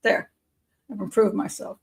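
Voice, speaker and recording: A woman who works as a criminal profiler hosts a casual live members' show, rambling and chatty, losing her voice and clearing her throat. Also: measured pace. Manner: chatty and rambling, with frequent fillers and soft laughs. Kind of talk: casual live-stream chat.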